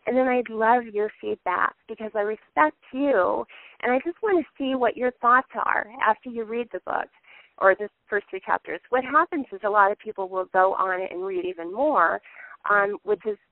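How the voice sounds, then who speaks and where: A woman's voice: medium pitch, normal speed, no room sound. A woman speaking on a telephone conference call, giving earnest, conversational business advice and explaining it step by step. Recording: narrow, tinny telephone conference line.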